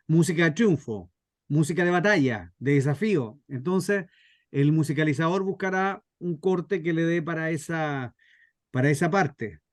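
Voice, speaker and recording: Chilean man with a higher-pitched voice, teaching informally. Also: no room sound, clean studio sound. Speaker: scriptwriter leading a radio drama workshop. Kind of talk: informal explanatory teaching with anecdotes.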